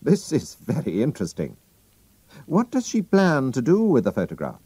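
A man voicing a calm, clever male detective who talks briskly as he reasons. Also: mid-range voice, slightly rough, no echo, pitched above the performer's own voice, exaggerated voice. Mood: cool, knowing. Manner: brisk, deducing, lively.

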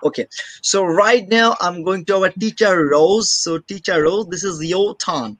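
Casual young host on a video call, kinda high voice, no room sound, keeps repeating himself. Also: male voice, normal speed, fairly bright tone, no echo. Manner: earnest, repeats phrases, nonnative English phrasing. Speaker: host of an online school show. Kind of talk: live video call hosting.

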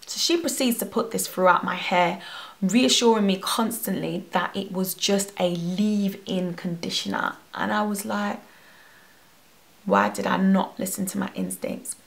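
Young woman, gravelly voice, animated storytime vlog, talking to camera at home. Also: mid-range voice, a little slow. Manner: animated, expressive, gesturing a lot. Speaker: hair vlogger. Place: bedroom at home. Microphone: camera at face distance.

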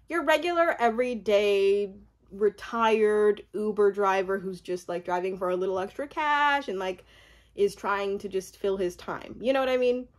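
A young woman, born in Uganda, telling a personal story in a casual, animated way, laughing often and acting out what others said. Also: medium pitch. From born in Uganda, raised mostly in the US. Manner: animated, laughs often, acts out quoted speech. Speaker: vlogger.

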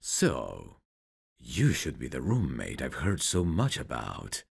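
Guy playing a teasing flirty dude for asmr roleplay, slow drawn out talk, gravelly n husky, intimate. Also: rumbling low, huge pitch swings, full character voice. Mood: reflective, warm.

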